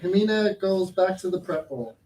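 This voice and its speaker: A woman's voice: medium pitch, moderate pace, warm tone. A woman reading stage directions as scripted narration for a staged play reading. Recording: echoey, distant, faint noise.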